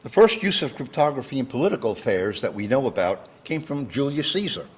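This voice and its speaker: A man with a husky, slightly rough voice gives an explanatory lecture. He speaks at a middle pitch, laying out definitions deliberately and one step at a time, like an engineer teaching a general audience. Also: big pitch swings. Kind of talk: educational public lecture, explanatory. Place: talk given live to an audience.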